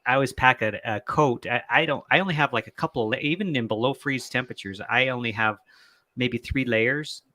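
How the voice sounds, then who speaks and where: A man's voice: medium pitch, brisk, bright, forceful tone, no echo. A man hosting a casual video call chat, easygoing and laughing along with his guests. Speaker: podcast host.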